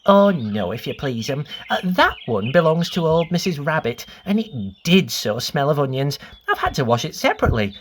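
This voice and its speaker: A woman's voice: low voice, very animated, normal speed, hardly any pauses, a little hiss or hum. Woman voicing a fussy little hedgehog washerwoman. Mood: chatty, fussy. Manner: fussy, polite servant talk with repeated apologies and exclamations. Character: stout little female hedgehog washerwoman, anxious, deferential, eager.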